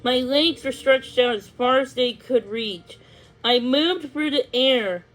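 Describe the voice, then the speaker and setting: A woman's voice: high-pitched, slow pace, a bit bright, low-quality recording. A woman narrating a scripted children's story as a read-along.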